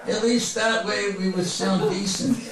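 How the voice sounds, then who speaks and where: A man's voice: high-pitched, fairly even intonation, unhurried, very noisy. A man reading his humorous memoir aloud to a live audience as a comic, mock-grandiose storyteller, recorded from a distance in a very echoey room. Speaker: author reading from autobiography. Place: bookstore reading event before an audience.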